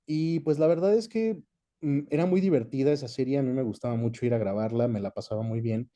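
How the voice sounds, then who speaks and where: Mexican man with a very dark, mellow voice. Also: mid-range voice, fairly quick. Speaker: guest, dubbing actor and director. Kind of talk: casual interview chat, recounting experience.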